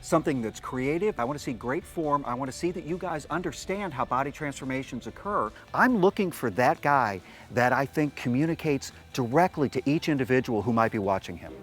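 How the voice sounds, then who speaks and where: A man judging a competition, explaining in a measured way what he wants to see. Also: mid-range voice, animated, fairly bright tone, faint music behind. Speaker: competition judge. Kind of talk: talking-head interview.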